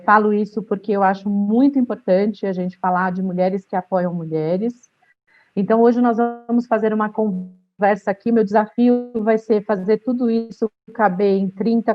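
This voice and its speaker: A woman's voice: medium pitch, steady tone, normal speed, lo-fi sound, faint music behind. A middle-aged woman moderating a panel, introducing the guests with enthusiasm. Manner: enthusiastic, warm framing of guests.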